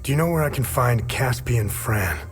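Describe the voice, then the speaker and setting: A man's voice: medium pitch, expressive, normal speed, slight background noise, lightly acted. A man playing a guarded outsider hero in a video game, terse and questioning. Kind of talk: video game dramatic acting. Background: music.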